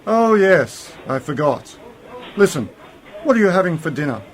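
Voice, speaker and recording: A man's voice: on the high side, big pitch swings, normal speed. A man playing a curt, dismissive time-travelling uncle in an amateur science fiction radio play. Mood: brusque.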